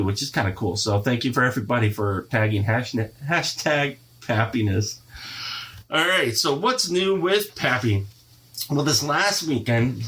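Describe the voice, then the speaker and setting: A stuffy-nosed man talking alone on his podcast, his voice sitting at an ordinary middle pitch. He rambles casually with plenty of fillers and playful bragging. The congestion stays noticeable throughout. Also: fairly bright tone. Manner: chatty, playfully boastful, many fillers. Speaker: podcast host. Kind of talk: casual solo podcast monologue.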